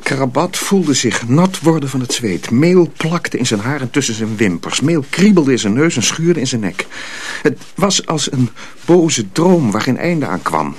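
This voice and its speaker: A man's voice: mid-range voice, animated, unhurried, slightly rough. A man narrating a radio drama from a script as a storyteller.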